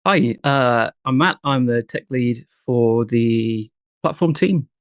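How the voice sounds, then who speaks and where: A man from England, a tech lead, introducing himself in a relaxed way on a video call. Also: medium pitch, slow pace, smooth, no echo, clean studio sound.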